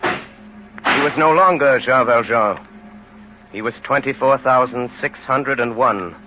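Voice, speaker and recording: An actor as the grave narrator of a tragic tale, solemn and measured in a dramatic, rhetorical radio style. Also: male voice, medium pitch, natural voice. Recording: noisy, dull old radio recording.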